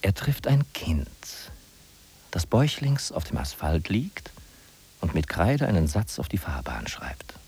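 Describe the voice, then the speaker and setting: An actor playing a sardonic narrator in a 1950s German radio play, ironic, declamatory and emphatic. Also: male voice, low-pitched, sing-song, measured pace, a little mellow, full character voice. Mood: bitterly ironic. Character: sardonic male narrator of a bleak big-city inventory. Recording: husky-sounding 1950s radio recording from cassette.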